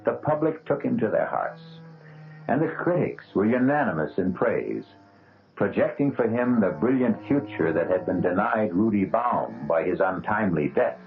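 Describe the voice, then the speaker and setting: Male narrator, low gravelly voice, lively delivery, thin old radio sound, music underneath. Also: narrow, tinny audio. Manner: measured, ominous. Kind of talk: scripted dramatic narration.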